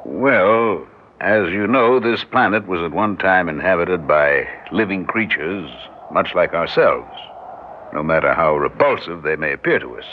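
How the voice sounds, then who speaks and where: An actor playing a measured, pedantic alien scientist in an old-time radio drama. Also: male voice, low voice, animated. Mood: detached lecturing. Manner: measured, pedantic, hesitant at times. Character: learned, deliberate alien scientist doctor, male, cautious and detached. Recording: muffled, noisy vintage radio recording.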